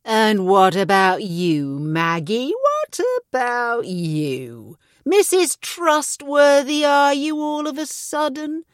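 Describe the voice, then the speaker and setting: A man's voice: high voice, very animated, moderate pace, few pauses, no echo, clean studio sound. A woman reading the lines of a spiteful middle-aged man who taunts with sarcasm. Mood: mocking.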